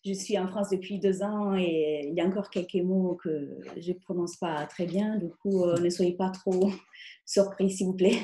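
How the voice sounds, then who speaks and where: A woman's voice: fairly low pitch, steady tone, a little slow, warm tone, echoey room, slight background noise. A woman with an Italian accent co-presenting a live webinar, speaking with light hesitations and frequent laughs.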